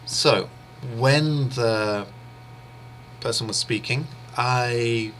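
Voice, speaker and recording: A man whose first language is English, teaching an interpreting course in a steady, explanatory way with pauses and fillers. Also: medium pitch, a little hiss or hum. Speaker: interpreting instructor. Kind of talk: explanatory online lecture.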